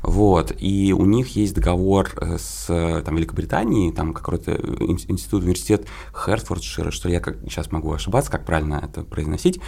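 A man chatting as an interview guest, explaining things in a hesitant, halting way. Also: deep voice, vocal fry, dark tone. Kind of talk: casual chat, explaining.

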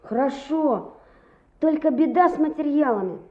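Casting: confident schoolboy for radio drama, very high, animated, brisk, in a roomy vintage recording. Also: female voice. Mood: earnest, indignant. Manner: brisk, boyishly assertive. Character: eager, stubborn schoolboy, confident young builder. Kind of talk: Soviet radio play acting. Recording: muffled, roomy old radio play recording.

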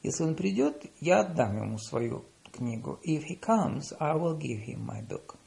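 A man reads out short phrase pairs for a language lesson, his English slow and carefully spaced after brisker Russian. His voice sits a little high and swings widely in pitch. A gravelly, creaky edge runs through it. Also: sing-song, darkish tone. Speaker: language teacher. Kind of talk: reading lesson phrases with translations.

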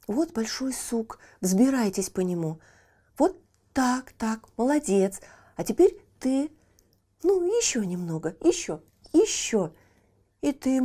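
Storybook young boy, played by a woman: high, kind and brave, earnest exclamations, unhurried. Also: mellow.

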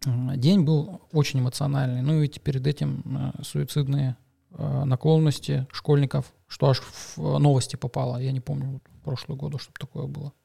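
A man's voice: mid-range voice, little pitch movement, normal speed, gravelly, mellow, husky. A man, a father of a young schoolgirl, co-hosting a casual astrology podcast, reading news and talking with hesitant fillers and restarts.

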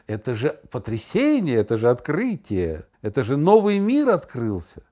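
A man's voice: mid-range voice, wildly swooping pitch, normal speed, mellow. A middle-aged man chatting casually as a podcast co-host, telling stories in a sardonic, theatrical way and acting out imagined remarks. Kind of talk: casual chat, storytelling.

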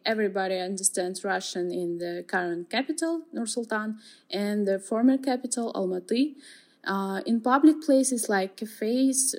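Young woman, interviewee, calm and explanatory, mid-pitched. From Kazakhstan. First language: Kazakh. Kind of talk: answering interview questions.

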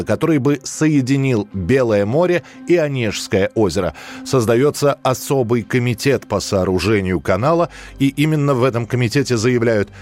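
A male radio host with a medium-pitched voice. He reads scripted documentary narration. Music plays faintly behind him. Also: a little mellow.

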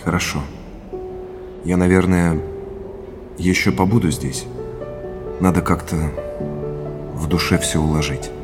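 An actor playing a sincere, grateful heir in an audio drama, speaking in measured, earnest short phrases. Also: male voice, sits low, fairly even intonation, music in the background. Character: Oleg, sincere grateful heir to a family legacy.

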